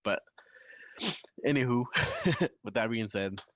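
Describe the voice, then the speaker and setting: A man's voice: mid-range voice, lively intonation, takes it slowly, fairly bright tone, no echo. Laid-back young man, dull lo-fi sound. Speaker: podcast co-host. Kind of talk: casual chat and reading news stories.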